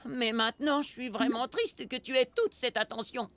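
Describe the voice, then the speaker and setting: An actor voicing an insecure but kindly preteen amoeba boy, expressive and boastful, in a French cartoon dub. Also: medium pitch, moderate pace, lightly acted. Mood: sheepish, sympathetic. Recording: music behind the voice.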